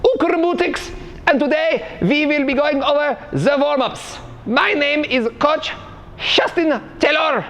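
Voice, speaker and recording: A man's voice: very high voice, unhurried, a bit bright, voice pushed up. A man playing an overexcited parkour coach with a mock German accent, stretching words in a sing-song way and tagging lines with "yeah?". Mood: bouncy, instructive. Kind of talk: comedic tutorial.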